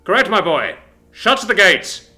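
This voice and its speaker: A man reading a story aloud, voicing a stern, towering armored warlord father who talks in a loud, commanding way. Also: on the high side, lively intonation, a little fast, voice pushed up.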